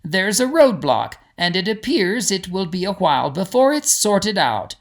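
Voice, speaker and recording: Man playing a storybook guide, male, higher-pitched, protective, careful, reassuring, formal. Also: very animated, unhurried, exaggerated voice. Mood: forced cheer. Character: calm male guide, protective, forcing a cheerful tone.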